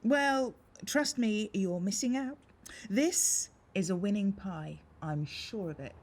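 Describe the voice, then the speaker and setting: An actress playing a confident, excitable amateur baker in a radio soap. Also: female voice, mid-range voice, takes it slowly. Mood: eager, confident.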